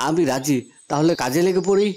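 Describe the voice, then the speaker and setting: A man's voice: fairly high pitch, brisk, darkish tone, close to an everyday voice. A man voicing a poor young village son in a cartoon, grumbling yet dutiful and earnest. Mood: worried, pleading. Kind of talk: cartoon voice acting. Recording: music behind the voice.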